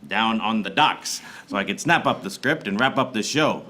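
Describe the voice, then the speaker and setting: Actor playing a low-voiced, deadpan noir private eye. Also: male voice, normal speed, close to an everyday voice. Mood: weary. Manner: deadpan wordplay, theatrical. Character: wry, weary hard-boiled male private eye. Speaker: lead actor in live comedy radio serial. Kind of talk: film noir detective narration, radio play parody. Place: small theater stage, live audience. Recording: noisy live stage recording with clicks.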